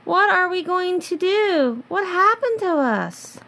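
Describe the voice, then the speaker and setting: High, slow, swooping schoolgirl played by a woman. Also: lively intonation, creaky, fairly bright tone, full character voice. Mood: shaken.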